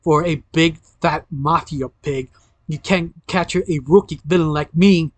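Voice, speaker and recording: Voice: mid-range voice, fairly even intonation, unhurried, dry recording, lightly acted. A performer voicing a brave, cheeky young girl, a rookie villain who is earnest and taunting.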